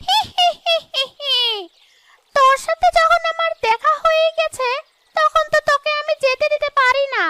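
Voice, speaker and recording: Woman voicing a shrill, menacing cartoon witch. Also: steady tone, moderate pace, heavy background noise. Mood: cackling, threatening. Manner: taunting, cackling villain. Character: menacing, gleeful man-eating forest witch ghost. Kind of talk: cartoon horror story voice acting.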